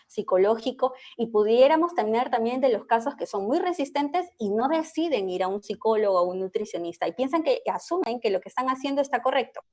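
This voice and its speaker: A woman clinical psychologist, a guest explaining in an interview, measured and explanatory, with frequent hesitations. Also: a little high, lively intonation, darkish tone.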